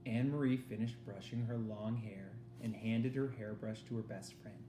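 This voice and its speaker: Mellow male storybook reader in echoey room. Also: lowish voice, fairly even intonation, normal speed. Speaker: teacher reading aloud to students. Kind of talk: storybook read-aloud narration. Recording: echoey room with faint noise.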